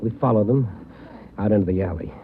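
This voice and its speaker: A man's voice: on the low side, fairly quick, a little hiss or hum, close to an everyday voice. A man playing a weak, wounded patrolman. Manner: weak, plain-spoken. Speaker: police officer. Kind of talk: 1940s radio drama acting. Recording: muffled old radio broadcast.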